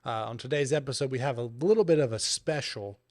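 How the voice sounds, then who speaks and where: A man's voice: mid-range voice, fairly quick. A man co-hosting a podcast, introducing guests in a casual, filler-heavy, upbeat way. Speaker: podcast co-host.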